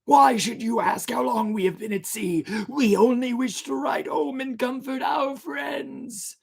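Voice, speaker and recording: A reader voicing a weary ghostly sailor who pleads in a high, strained, sobbing voice. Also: fairly even intonation, a little slow, gravelly, breathy edge. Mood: anguished. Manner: drawn out, crying and shouting by turns.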